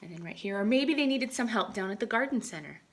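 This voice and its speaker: A woman reading a picture book aloud to children as a teacher, expressively, often dropping into hushed asides. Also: medium pitch, expressive, quick pace.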